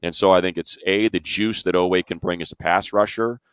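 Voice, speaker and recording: Very deep-voiced man talking sports. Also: fairly even intonation, brisk, clean tone, no room sound. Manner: informal, conversational, talks in long runs. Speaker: sports radio commentator and show panelist. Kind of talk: casual sports analysis chat.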